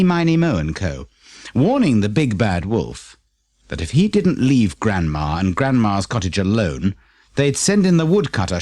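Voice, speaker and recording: A man's voice: mid-range voice, a little mellow, no echo, studio quality. A man with a British accent reading a children's story expressively as an audiobook narrator.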